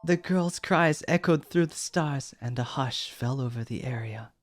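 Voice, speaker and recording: A man reading a storybook aloud as a bedtime story, gentle and unhurried. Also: mid-range voice. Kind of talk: storybook narration. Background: background music.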